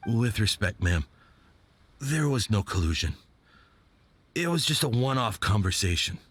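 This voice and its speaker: A man's voice: medium pitch, fairly bright tone. A man voicing a nervous soldier who answers questioning in a hesitant, defensive way in a game. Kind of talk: game voice acting.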